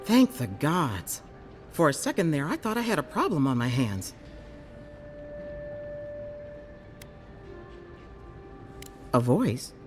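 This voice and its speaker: High-voiced, lively clinic healer. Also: male voice, soft background music. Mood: relieved, intrigued. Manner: inquisitive, measured, advising. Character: keen, caring clinic healer, intrigued by strange souls. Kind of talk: video game character dialogue.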